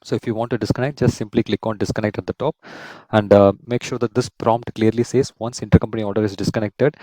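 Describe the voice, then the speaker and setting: A man in his thirties hosts a software walkthrough in a fairly low, dark, relaxed voice. He explains each step methodically and tags points with little check-in questions. The sound is dry, with very little room on it. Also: no room sound, low-quality recording. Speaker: software instructor and host of a tutorial series. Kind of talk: screen-share software tutorial, semi-scripted explanation.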